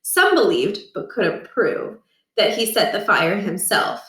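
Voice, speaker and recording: A woman reading a children's storybook aloud with expressive, deliberate delivery in a roomy space. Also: medium pitch, far from the mic. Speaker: read-aloud reader. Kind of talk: scripted storybook narration. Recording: roomy, echoing room.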